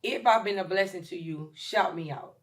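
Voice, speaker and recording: A woman in her late forties, a chef and caterer talking as a guest in a casual podcast interview, emphatic and lively, with many ums. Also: on the low side, normal speed. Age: 40s. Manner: lively, emphatic, with shout-outs and filler ums.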